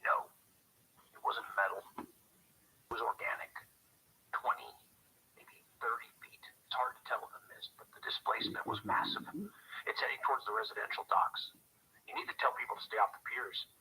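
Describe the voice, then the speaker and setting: Actor as whispering, shaken lighthouse keeper. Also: male voice, medium pitch, wildly swooping pitch, moderate pace, long pauses, bright, forceful tone, full character voice, low-quality recording. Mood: hushed, alarmed. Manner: breathy, secretive, ominous. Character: shaken, awestruck male lighthouse keeper, hushed and warning. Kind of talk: radio drama acting.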